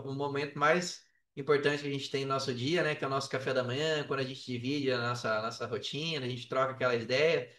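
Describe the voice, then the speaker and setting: A man's voice: medium pitch, a bit bright. A Brazilian man telling personal stories casually as a podcast guest, often repeating words and checking in with the listener. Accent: Brazilian Portuguese. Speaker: podcast guest, affiliate marketer. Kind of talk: casual personal storytelling in an interview. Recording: echoey room, distant from the mic.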